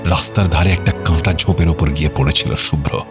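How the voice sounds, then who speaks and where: A man's voice: very deep voice, fairly even intonation, a little fast. A man narrating an audio drama as storyteller, in a dramatic scripted reading style. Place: studio. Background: music.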